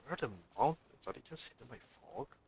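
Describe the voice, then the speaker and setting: A man's voice: mid-range voice, animated, brisk, gravelly, a little mellow, breathy edge. A man giving casual live game commentary, muttering and thinking aloud as he plays. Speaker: game streamer.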